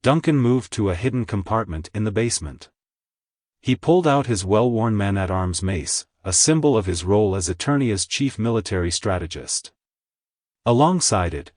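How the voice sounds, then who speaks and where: A man's voice: lowish voice, darkish tone, no room sound, clean studio sound. A man reading scripted story narration as a storyteller.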